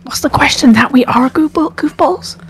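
A woman's voice: sits high, normal speed, a little hiss or hum. A woman whispering casual, playful chat as a gaming partner steering for the crew.

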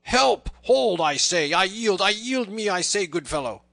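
A man's voice: sits low, flat delivery, moderate pace, pushed and bright. A man voicing a proud but beaten outlaw fighter, roaring and grumbling in archaic storybook speech. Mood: roaring, desperate.

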